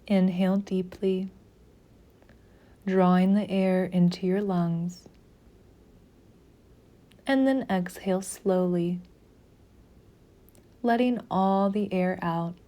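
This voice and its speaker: Slow, mellow woman guiding meditation. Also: on the low side, fairly even intonation. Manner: soothing, measured, with long pauses. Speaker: meditation guide. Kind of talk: slow guided meditation narration.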